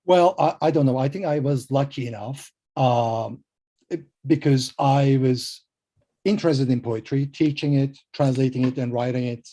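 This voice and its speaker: Mellow-voiced man with a Turkish accent. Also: medium pitch, moderate pace. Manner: hesitant, many fillers and restarts, self-deprecating. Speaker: translator and poet guest. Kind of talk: conversational panel interview.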